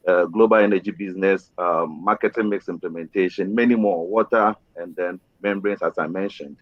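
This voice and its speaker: A man from Ghana introducing himself on a panel discussion, pausing often with fillers. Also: fairly low pitch, no echo. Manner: hesitant, many fillers. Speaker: panelist, chemical engineer. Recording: dry, dull lo-fi sound.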